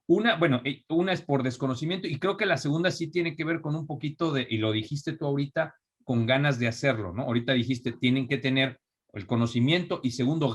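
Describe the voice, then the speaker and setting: A young adult man from Mexico with a Mexican accent, speaking as an interview guest in a relaxed, reflective way with frequent restarts. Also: mid-range voice, slightly rough. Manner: thoughtful, restarts often, reflective. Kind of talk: conversational interview.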